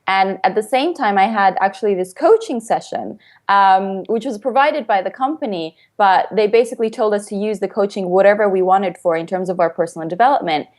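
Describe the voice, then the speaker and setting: A woman's voice: medium pitch, fairly quick, hardly any pauses, a little mellow. A woman from Finland with an international upbringing, explaining things casually and chattily as an interview guest and coach.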